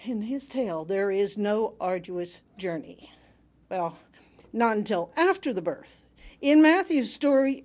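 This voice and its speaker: Woman reading a wry, measured sermon. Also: a little high, a little mellow. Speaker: reader delivering another minister's sermon. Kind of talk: sermon read aloud to a congregation.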